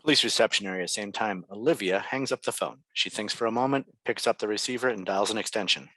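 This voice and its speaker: A man narrates a screenplay's stage directions. His low voice has a bright, crisp edge and is recorded close and dry. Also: fast talker, dry recording, poor audio. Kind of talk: scripted narration for a table read.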